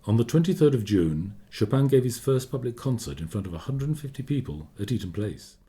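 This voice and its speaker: A man playing a confiding, measured storyteller narrating history in a scripted radio play. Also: mid-range voice, very animated, mellow, close to an everyday voice. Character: discreetly gossiping male narrator recounting a composer's history. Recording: studio radio play with music behind. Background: music.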